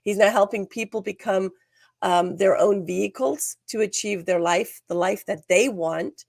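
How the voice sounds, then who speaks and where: Slightly hoarse woman hosting, gravelly edge. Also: mid-range voice, unhurried, no room sound, clean studio sound. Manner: energetic, emphatic, restarts phrases. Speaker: podcast host. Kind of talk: scripted show opening and interviewing.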